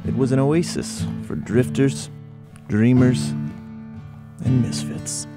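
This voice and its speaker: A man giving scripted narration for a historical documentary, telling it deliberately like a storyteller. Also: mid-range voice, steady tone. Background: faint plucked guitar.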